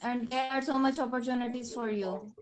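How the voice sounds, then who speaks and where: A woman's voice: a little high, steady tone, fairly quick, a crowd in the background. A woman answering student questions in an online Q&A, casual, with many fillers. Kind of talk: online Q&A session.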